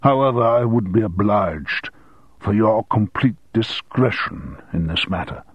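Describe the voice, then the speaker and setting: A man's voice: fairly low pitch, animated, measured pace, breathy edge, no echo, dull audio. A man voicing a stiff, flustered older headmaster in an audiobook, formal and straining to stay restrained. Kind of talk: audiobook character dialogue.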